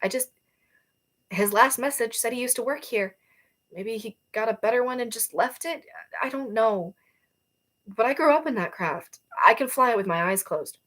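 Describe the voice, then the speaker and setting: A woman's voice: a little high, moderate pace, a bit bright, pitched above the performer's own voice. A woman voicing a restless, earnest young nonbinary soldier and pilot in a novel reading, speaking casually. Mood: earnest, defensive.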